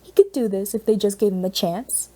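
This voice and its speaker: A woman reading scripted fiction as an audiobook narrator, with expressive storytelling. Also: medium pitch, fairly quick.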